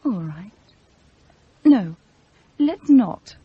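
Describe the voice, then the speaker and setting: A woman's voice: on the high side, very animated, extremely slow, husky, no echo, narrow, tinny audio, heavily acted. An actress playing a poised but insecure, romantic young upper-class Englishwoman in a radio play, hesitant and earnest. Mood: anxious, yearning. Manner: hesitant, self-conscious, earnest.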